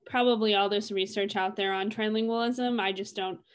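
A woman's voice: medium pitch, steady tone, moderate pace, smooth. A young adult woman talking casually and reflectively as a co-host in a discussion. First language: English.

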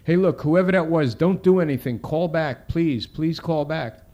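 A man playing a gentle, earnest late-night television call-in host, solicitous and imploring. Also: medium pitch, quick pace, natural voice. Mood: pleading.

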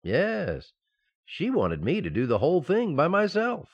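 An actor playing an eager, playful young husband in an old-time radio sitcom, boyish and excitable, on a dull recording. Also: male voice, on the high side, measured pace, husky, heavily acted. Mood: relieved, eager.

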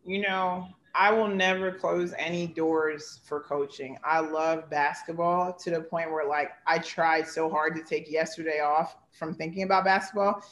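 A woman's voice: low voice, steady tone, a little slow, few pauses, fairly bright tone, echoey room. A woman in her thirties, a basketball coach, giving earnest, heartfelt interview answers full of "like" and "you know". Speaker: basketball head coach, guest.

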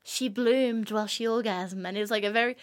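A young woman from France, co-hosting a podcast in a relaxed, filler-heavy way. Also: mid-range voice, fairly quick. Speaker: podcast co-host. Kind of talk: casual chat.

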